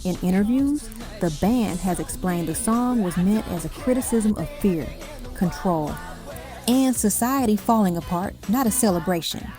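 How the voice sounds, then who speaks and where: A woman's voice: mid-range voice, lively intonation, moderate pace. A woman reading scripted documentary-style narration for a music countdown, in dramatic, punchy short phrases. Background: music behind the voice.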